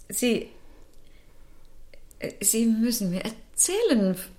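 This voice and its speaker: A woman's voice: fairly high pitch, heavily acted. An actress playing a flustered wealthy middle-aged mother in a radio play, stammering and repeating herself. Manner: halting, stammering, repeats words.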